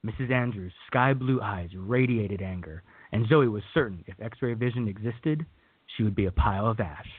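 Male narrator for radio theater, lowish and husky, scripted read, close and dry. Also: moderate pace, dry recording. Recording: tinny, phone-like narrow band.

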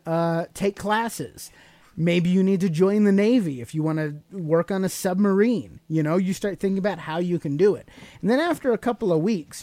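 A man hosts a podcast in a conversational, motivational teaching style. His intonation moves around a lot, and his tone is mellow and relaxed. He explains step by step and often puts questions to the listener, in a close, dry sound. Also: high-pitched, dry recording. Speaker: podcast host.

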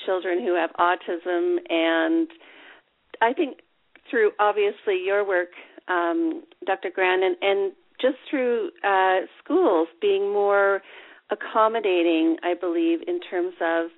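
A woman hosting a podcast interview from her office, talking in a chatty, upbeat way with frequent um and uh fillers. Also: medium pitch. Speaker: podcast host. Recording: tinny phone line.

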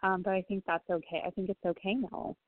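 A woman's voice: mid-range voice, flat delivery, brisk, dry recording. Reassuring woman medium on a tinny line. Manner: gentle, reassuring, hesitant with many ums. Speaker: psychic medium giving readings. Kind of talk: phone-in psychic reading on a talk show. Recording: tinny phone line, dry.

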